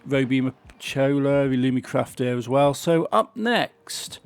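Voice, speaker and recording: Man, croaky sore throat, gravelly, casual gameplay commentary, faint music behind. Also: medium pitch, lively intonation, measured pace, dry recording. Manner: chatty, grumbling about the game. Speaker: gaming channel host.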